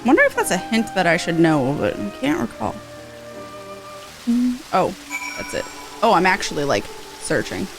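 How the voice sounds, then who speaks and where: A woman's voice: mid-range voice, wildly swooping pitch, normal speed, gravelly, long pauses, low-quality recording. A woman game streamer chatting casually through a playthrough with playful, rambling asides. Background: game music.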